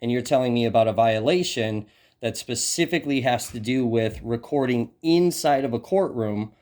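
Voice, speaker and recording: Male journalist, American, mid-pitched, pressing with challenging questions in hesitant, broken phrasing. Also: a little mellow. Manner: halting, hesitant phrasing with repeated words; persistent, challenging. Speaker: independent journalist. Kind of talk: questioning an official in a phone interview.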